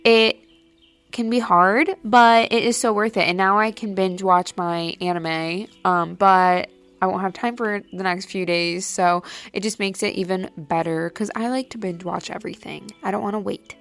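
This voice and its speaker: A woman's voice: medium pitch, fairly even intonation, normal speed, a bit bright. A young woman hosting a podcast, chatting casually and rambling with lots of "like", her nose a little stuffy. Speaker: podcast host. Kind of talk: casual solo chat. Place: at home late at night.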